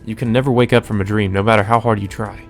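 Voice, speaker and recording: A man's voice: lowish voice, steady tone, fast, gravelly, faint music behind. A man reading visual novel narration and dialogue aloud as a let's player.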